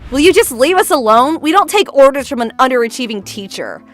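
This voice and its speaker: A woman voicing a haughty, dismissive high school girl with a condescending, expressive delivery in a manga dub. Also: moderate pace, faint music behind, natural voice. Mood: scornful. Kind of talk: acted manga dub dialogue.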